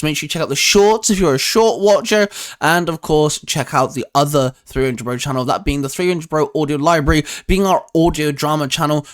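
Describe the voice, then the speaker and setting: A man with a slightly high-pitched voice hosts in a casual, conversational style. He explains things in a rambling, loosely structured way, padding his points as he goes. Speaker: YouTube host discussing anime. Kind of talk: casual chat.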